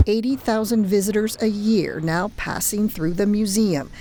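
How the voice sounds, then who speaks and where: A woman's voice: medium pitch, moderate pace, dark tone. A woman working as a TV reporter, reading scripted narration for a news feature. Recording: clear background noise.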